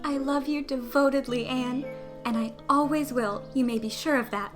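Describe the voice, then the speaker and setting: A very high-pitched, devoted young girl, played by a woman, speaks in a storybook drama. She is earnest and openly emotional. Music is clearly audible behind her. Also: steady tone, moderate pace, lightly acted. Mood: staunch, earnest.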